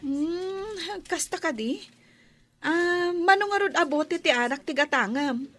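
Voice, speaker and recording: A woman's voice: very high-pitched, slow pace, fairly bright tone, exaggerated voice. A woman with an Ilocano accent playing a polite young village store girl in an audio drama. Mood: polite, unhurried. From Philippines.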